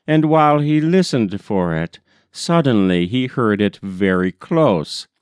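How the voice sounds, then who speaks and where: A man narrates a storybook folk tale with deliberate, unhurried phrasing. His pitch is mid-range but swings expressively from phrase to phrase. The sound is dry, with very little room to it. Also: lively intonation, clear, resonant tone, no room sound. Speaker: audiobook reader.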